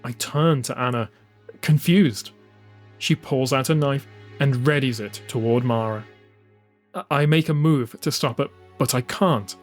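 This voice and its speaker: A man's voice: medium pitch, measured pace. A man giving a dramatic scripted narration as an audiobook narrator. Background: music under the voice.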